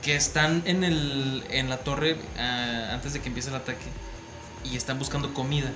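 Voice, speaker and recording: A man with a Mexican accent chatting casually, slangy and animated as he retells scenes. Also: mid-range voice, normal speed, echoey room, over music. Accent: Mexican Spanish. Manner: slangy, animated storyteller who acts out scenes. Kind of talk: casual chat about an anime series.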